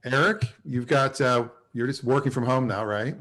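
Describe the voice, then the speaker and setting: A man of average pitch hosts an online show over a video call. He talks in a casual, conversational way, chatting with a group of guests. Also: normal speed, a bit bright.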